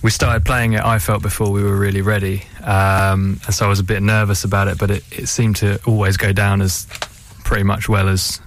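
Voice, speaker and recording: Male band member, slightly low pitch, informal studio interview answers. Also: flat delivery, brisk, hardly any pauses. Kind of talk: casual interview chat. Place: radio studio.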